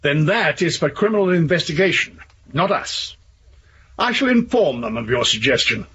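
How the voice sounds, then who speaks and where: An actor playing a coolly authoritative, evasive police chief, formal and dismissive, in a radio drama. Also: male voice, a little high, expressive, normal speed, fairly bright tone, muffled recording, a little hiss or hum. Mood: stern, evasive.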